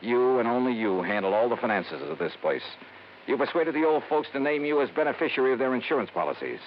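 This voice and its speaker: An actor playing a shrewd, hard-boiled insurance investigator in a wry, clipped old radio noir style. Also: male voice, mid-range voice, sing-song, fast, slight background noise, lightly acted. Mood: accusing. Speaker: lead actor and narrator. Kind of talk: 1950s radio noir acting. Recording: dull old radio recording.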